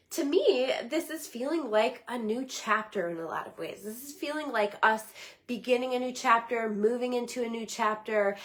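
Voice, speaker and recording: A slightly hoarse middle-aged woman, giving a weekly psychic reading in an earnest, rambling livestream talk. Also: mid-range voice, a bit bright. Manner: earnest, rambling, with many restarts and "right?". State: slightly hoarse, losing her voice.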